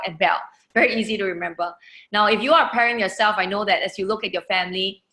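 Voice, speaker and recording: A woman's voice: mid-range voice, pushed and bright, echoey room. A woman from Singapore, hosting an online webinar in an encouraging manner with frequent "you know" fillers, speaking over a video call. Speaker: webinar host. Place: holiday lodging, echoey room.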